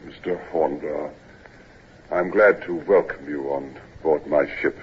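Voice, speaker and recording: An old British sea captain with an extremely low, badly husky voice. He is morose and ponderous, gruff but kindly, heard in a muffled vintage radio play. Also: steady tone, full character voice. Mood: weary, formal. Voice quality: very deep, husky. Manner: halting, ponderous. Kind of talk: 1950s radio drama acting.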